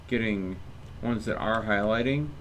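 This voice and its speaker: A male game streamer with a fairly low voice gives casual, rambling commentary. He thinks aloud as he plays, with faint noise and game ambience behind him. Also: a little slow, fairly bright tone. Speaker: game livestreamer.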